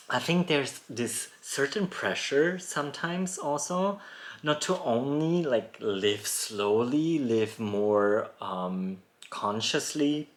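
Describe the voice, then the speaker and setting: A thirty-something man hosts a solo podcast from his rural home. He talks in a thoughtful, musing way, laughing softly now and then. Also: mid-range voice. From born in Poland, raised in Germany. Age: 30s. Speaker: podcast host. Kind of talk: reflective solo podcast talk.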